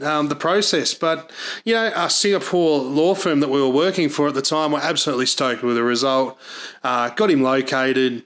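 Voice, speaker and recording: A man's voice: medium pitch, a bit bright. Australian man telling work stories. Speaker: podcast host, private investigator. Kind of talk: casual storytelling for a podcast.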